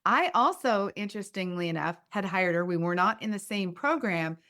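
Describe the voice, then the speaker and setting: A woman's voice: medium pitch, expressive, moderate pace, pushed and bright. A woman hosting a business-coaching podcast, interviewing a guest with an enthusiastic, effusive manner.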